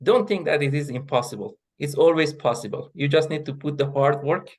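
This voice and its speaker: Hesitant Persian-speaking man, measured answers. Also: fairly high pitch. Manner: hesitant, many fillers and restarts. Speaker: guest, associate professor of linguistics. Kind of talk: interview answers.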